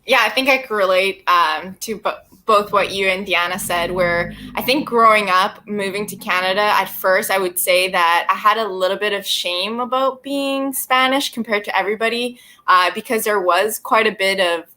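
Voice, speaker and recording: A woman's voice: mid-range voice, moderate pace, sharp tone, slight background noise. A woman from Colombia, raised in Canada, speaking reflectively as a panelist. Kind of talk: casual panel talk.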